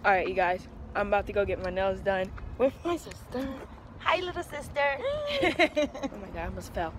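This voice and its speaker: A woman's voice: mid-range voice, expressive, normal speed, a little hiss or hum. A young woman chatting to her viewers in a casual vlog, filmed on a phone held at arm's length in a small tiled bathroom. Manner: chatty and bubbly, addressing viewers directly. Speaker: vlogger. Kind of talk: casual vlog chat to camera. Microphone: phone camera held at arm's length.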